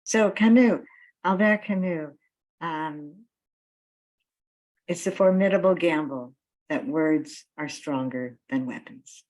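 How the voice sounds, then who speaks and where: A woman with a distinctly low voice speaks as a guest at an online activist meeting. Her delivery is informal, appreciative and encouraging, addressed to fellow participants over a computer call. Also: slow, long pauses, a little mellow, low-quality recording. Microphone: computer video call.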